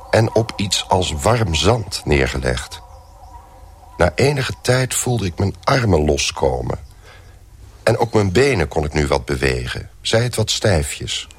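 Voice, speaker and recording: An actor playing a trapped, bewildered man who tells his own ordeal in a radio drama, in a deliberate, musing, wry manner. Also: low voice, slightly rough, full character voice. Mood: wondering. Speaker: narrator and lead character. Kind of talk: radio drama first-person narration.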